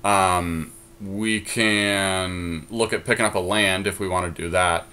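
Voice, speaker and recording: A man's voice: low-pitched, steady tone, takes it slowly, bright, forceful tone, slight background noise. A young man co-hosting a podcast, chatting casually and thinking aloud with lots of ums and restarts. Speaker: podcast co-host. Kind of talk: casual chat while drafting a card game.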